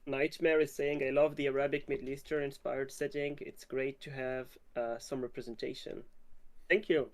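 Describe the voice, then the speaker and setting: A man's voice: mid-range voice, level tone, moderate pace. A man from Morocco hosting a casual question-and-answer livestream for a game publishing team. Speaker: stream host and game publisher team member.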